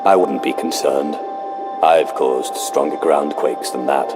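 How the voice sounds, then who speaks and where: A man playing an arrogant, menacing Sith dark lord, imperious and confident, in a video game. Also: rumbling low, fairly even intonation, measured pace, over loud music. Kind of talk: video game cutscene acting.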